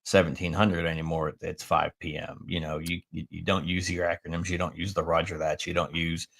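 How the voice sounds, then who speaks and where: An American man co-hosting a podcast, with a very low, gravelly voice full of vocal fry. He talks emphatically in loose chat, tagging "right?" onto his points. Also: level tone, brisk, a bit bright. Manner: emphatic, filler-heavy, ends points with "right?". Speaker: podcast co-host. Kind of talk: casual chat.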